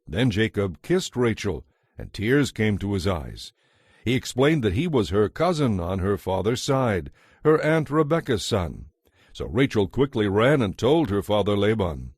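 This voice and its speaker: A man narrating scripture as a dramatized story, in an expressive, measured way. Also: on the low side, husky, no room sound, studio quality.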